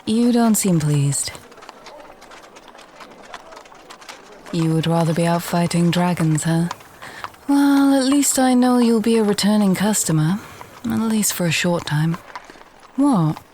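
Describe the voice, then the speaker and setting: A woman playing a friendly, teasing village alchemist in a fantasy audio roleplay, talking gently and conversationally to the listener. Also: fairly low pitch, unhurried, a little hiss or hum, natural voice. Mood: wry teasing. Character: friendly female village alchemist, warm, teasing, earthy, encouraging.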